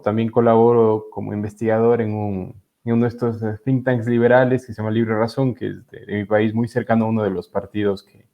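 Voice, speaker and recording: A young man from Ecuador, a law student, explaining politics as an interview guest in a hesitant way with many fillers, heard over a video call. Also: lowish voice. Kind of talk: casual interview talk. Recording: dull lo-fi audio.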